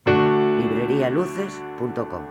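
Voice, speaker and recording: A man reading a formal sponsor acknowledgment in an even voice. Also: mid-range voice, slow, loud music behind the voice. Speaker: announcer.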